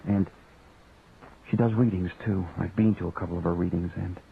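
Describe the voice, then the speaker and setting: A man's voice: sits low, fairly even intonation, normal speed, creaky, slight background noise. An actor playing a torn, self-doubting married writer in a radio drama, confiding and hesitant as he narrates and talks. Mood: confiding, wry. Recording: thin phone-like radio audio, music behind.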